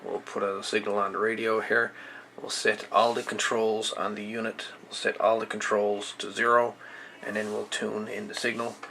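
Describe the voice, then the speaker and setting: Male gear reviewer, low and forceful voice, hesitant off-the-cuff delivery, some noise in the recording. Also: flat delivery, moderate pace, vocal fry, low-quality recording. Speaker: amateur radio equipment reviewer. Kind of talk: casual unscripted product demonstration.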